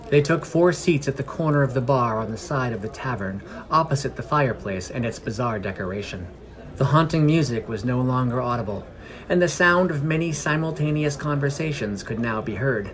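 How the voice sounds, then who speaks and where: A man reading a scripted fantasy story in a measured storytelling manner. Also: medium pitch, lively intonation. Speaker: podcast narrator. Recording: music under the voice. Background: music.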